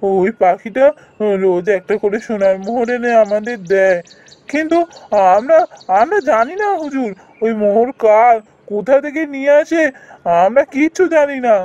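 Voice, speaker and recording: A performer playing a poor, gentle, anxious village husband in a fairy-tale cartoon, earnest and deferential in manner. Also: medium pitch, expressive, moderate pace, dull audio, close to an everyday voice. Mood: pleading.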